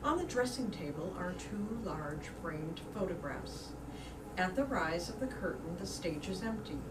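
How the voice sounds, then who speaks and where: A woman's voice: lowish voice, sing-song, a little slow. Woman narrator in an echoey room. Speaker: narrator reading stage directions. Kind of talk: scripted narration for a staged play reading. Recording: distant mic, echoey room, background noise.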